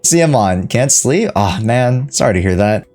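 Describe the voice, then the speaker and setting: A woman's voice: rumbling low, lively intonation, measured pace, dark tone, soft background music. A woman streaming a game, chatting casually with viewers and playfully reacting to and echoing the dialogue. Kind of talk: casual livestream reaction and chat.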